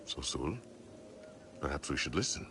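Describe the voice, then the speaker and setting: An actor playing a jovial, soothing old alien cheesemaker in a video game, with a genial, playful manner. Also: male voice, a bass voice, lively intonation, moderate pace, background noise. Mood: gently persuading. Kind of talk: video game cutscene acting.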